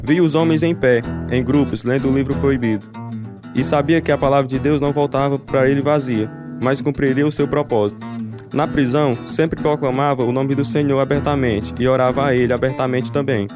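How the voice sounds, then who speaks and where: A man's voice: medium pitch, steady tone, fairly quick, natural voice. Actor as an earnest, devout man, guitar music behind. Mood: solemn. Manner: earnest, resolute. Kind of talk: first-person radio drama narration.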